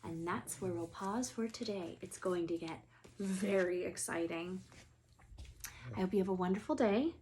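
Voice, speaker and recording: A woman reading a children's book aloud in an expressive storytelling style. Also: fairly low pitch, normal speed, a little hiss or hum.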